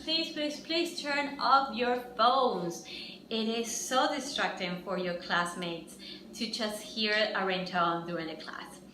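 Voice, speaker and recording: A woman's voice: mid-range voice, slow, pushed and bright. Lady, pumping up a room of trainees, sounds far from the mic, echoey with noise behind. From Ecuador. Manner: upbeat, encouraging, addresses the group directly. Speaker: fitness master trainer. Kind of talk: instructor training workshop.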